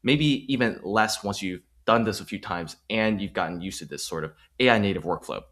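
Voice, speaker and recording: A man's voice: fairly low pitch, a little fast, fairly bright tone. A man hosting an explanatory tutorial, talking the viewer through each step in an emphatic, promotional way. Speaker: host of a finance tutorial. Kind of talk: explanatory screen tutorial with sales-pitch phrasing.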